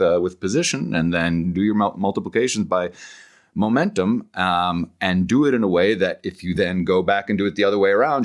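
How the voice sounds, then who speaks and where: A man speaking as an interview guest, explaining ideas conversationally in a halting, searching, scholarly way with frequent self-corrections. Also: sits low. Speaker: guest author.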